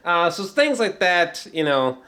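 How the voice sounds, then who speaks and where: A man giving casual, unscripted film commentary as a reviewer, rambling with repeated words and throat clearing, in a slangy, profane and emphatic way. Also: high voice, sing-song, measured pace, pushed and bright.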